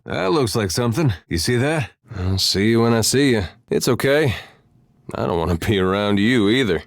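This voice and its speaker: A man's voice: on the low side, expressive, moderate pace, vocal fry, no room sound, studio quality. A man voicing an easygoing, wry farmer turned adventurer for a video game, with a folksy, drily humorous way of talking. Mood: wry, watchful.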